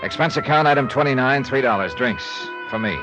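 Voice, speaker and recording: An actor playing a world-weary, dogged American insurance investigator in a 1950s detective radio drama, narrating in clipped, terse phrases. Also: male voice, medium pitch, fairly quick, natural voice. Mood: wry, brooding. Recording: muffled vintage radio recording, loud music underneath.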